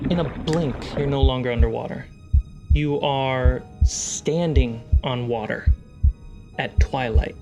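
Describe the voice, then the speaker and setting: A man narrating a tabletop fantasy game as game master, in deliberate, suspenseful short phrases. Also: medium pitch. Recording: music behind the voice.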